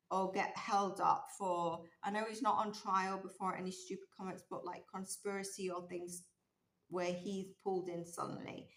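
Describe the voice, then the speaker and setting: A woman's voice: medium pitch, a bit bright. Woman, tarot reader, rambling and musing, slightly strained voice, roomy echo. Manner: musing, rambling, many ums and restarts. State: losing her voice. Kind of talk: casual tarot reading commentary. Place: indoors at a table with candle and cards. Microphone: overhead camera, hands only.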